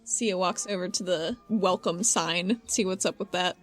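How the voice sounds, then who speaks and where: A woman's voice: on the low side, measured pace. Casual female tabletop player over music. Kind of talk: casual chat and improvised roleplay. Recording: background music under the voice.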